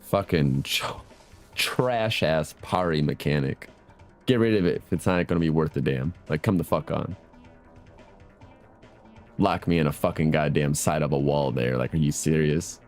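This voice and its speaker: A man with an extremely deep voice commentates on his gaming in a loose, casual way. He is profane and irritated, griping constantly, with some music behind him. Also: moderate pace, darkish tone. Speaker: game streamer.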